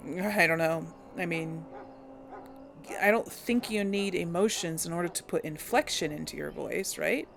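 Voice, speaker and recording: A woman's voice: lowish voice, measured pace, gravelly, faint music behind. A woman hosting a casual let's play, chatting and musing aloud as she plays. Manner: chatty, musing, self-doubting. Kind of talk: casual gameplay commentary.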